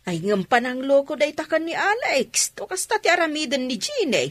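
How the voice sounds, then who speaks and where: A woman's voice: high voice, sing-song, normal speed, dry recording. A woman with an Ilocano accent playing an incredulous, disapproving woman in a radio drama.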